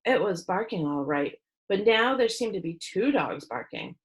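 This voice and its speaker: A woman reading a children's book aloud as a storyteller, with expressive narration. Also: fairly low pitch, moderate pace.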